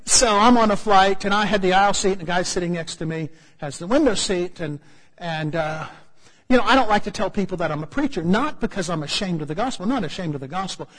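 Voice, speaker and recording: A male preacher with an American accent spins a funny personal story from the pulpit. His voice sits high and a little husky. It rings out through a large hall with a long reverb tail. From United States. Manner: humorous, animated storytelling with asides. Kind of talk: storytelling sermon.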